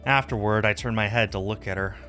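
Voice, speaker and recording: A man's voice: lowish voice, a little fast, pushed and bright. A man streaming a visual novel, reading the narration aloud and adding casual asides. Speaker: game streamer. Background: music.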